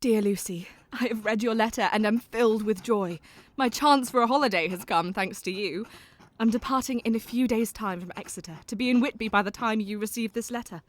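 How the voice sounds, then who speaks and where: A woman's voice: on the high side, brisk, natural voice. A woman playing a devoted young Victorian lady, reading a letter in a composed, formal manner for an audio drama. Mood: quietly glad.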